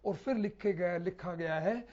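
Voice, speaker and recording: A man giving unscripted political commentary as a panel analyst, explaining haltingly with hesitations and repetitions. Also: sits high, noisy background. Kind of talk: unscripted television debate commentary. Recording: slightly dull, lo-fi broadcast audio.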